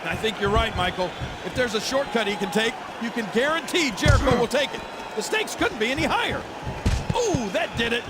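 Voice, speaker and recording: A dramatic wrestling color commentator in a video game. His voice is extremely high for a man and excitable, over loud noise. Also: fairly quick. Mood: excited.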